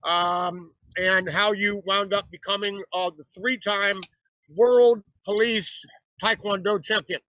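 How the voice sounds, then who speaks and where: A man's voice: sits high, steady tone, slow pace, pushed and bright, slight background noise, poor audio. A man hosting a talk show, introducing his guest with effusive praise and frequent fillers. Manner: effusive, repeats "absolutely fabulous".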